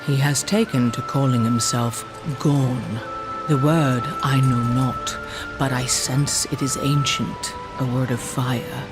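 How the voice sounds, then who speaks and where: Man as a slow, solemn ancient shaman. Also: medium pitch, fairly even intonation, close to an everyday voice. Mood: sombre, reflective. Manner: solemn, measured. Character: ancient, sorrowful shaman of a stone people. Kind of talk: video game cutscene acting. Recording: clear music behind the voice. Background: game score.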